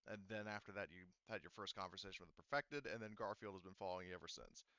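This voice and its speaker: Male game master, crisp and forward, casual halting delivery, dry close sound with slightly muffled fidelity. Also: deep voice, sharp tone, dry recording. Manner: halting, filler-heavy, rambling explanations. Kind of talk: casual narration of tabletop game.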